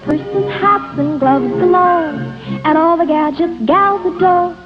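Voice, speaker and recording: A woman sings a 1950s television ad jingle in a high voice, very slowly drawn out. Music plays loudly along with her, and the old recording sounds dull. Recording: dull vintage TV ad, loud music.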